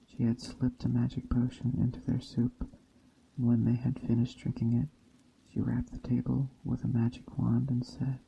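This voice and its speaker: A man reading a story aloud in a soft ASMR style, slowly and deliberately, in a hushed bedtime storytelling manner. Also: medium pitch, monotone, creaky, slight background noise. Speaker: storyteller, narrator. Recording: dull muffled sound, faint background ambience. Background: soft nature ambience.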